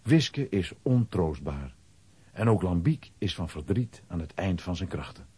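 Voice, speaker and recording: A man's voice: low-pitched, big pitch swings, measured pace, dark tone, breathy edge, no echo. A man narrating a children's audio drama in a scripted storytelling style.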